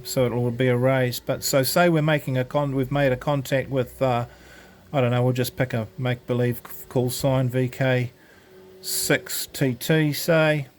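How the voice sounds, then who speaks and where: A man presents an unscripted gadget demonstration in a mid-range voice. He explains things casually and a little hesitantly, going one step at a time. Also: mid-range voice. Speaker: presenter of an amateur radio gadget demo. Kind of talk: informal product demonstration, unscripted tutorial.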